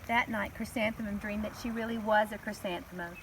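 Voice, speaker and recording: A woman reading a children's story aloud with expressive, deliberate and emphatic phrasing. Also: mid-range voice. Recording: slightly distant, faint background noise.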